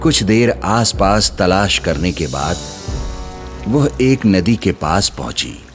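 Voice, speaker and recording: A man narrating a scripted children's moral story in an expressive storytelling manner. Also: low voice, slow pace. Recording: music under the voice.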